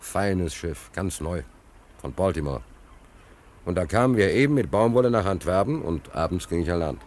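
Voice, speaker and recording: A man's voice: low-pitched, moderate pace. A man playing a naive, agitated stranded sailor in a German radio play, telling his woes in an emphatic, colloquial, repetitive way. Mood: reminiscing. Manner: excitable storyteller, emphatic, colloquial, repeats himself. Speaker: actor.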